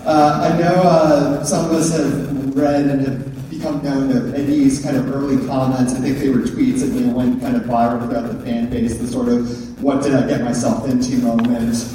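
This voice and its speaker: A man's voice: mid-range voice, little pitch movement, normal speed, dark tone. Hesitant man asking a panel question. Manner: hesitant, many fillers. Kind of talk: audience question at a convention panel. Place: large convention hall. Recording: distant, echoey hall with noise.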